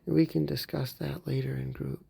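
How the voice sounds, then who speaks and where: Calm male meditation guide, husky and mellow, gentle with soft whispered stretches. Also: medium pitch, moderate pace. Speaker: therapy group leader.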